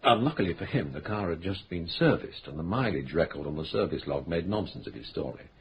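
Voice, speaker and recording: An actor playing a calm, patient male police inspector in a radio drama, who explains things in a measured, polite way. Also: deep voice, a little hiss or hum.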